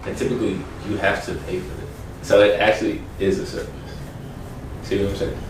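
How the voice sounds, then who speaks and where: A man's voice: lowish voice, level tone, brisk. An American man hosting a casual group chat in a playful, slangy way. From United States. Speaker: host. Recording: very echoey room, distant mic, faint noise.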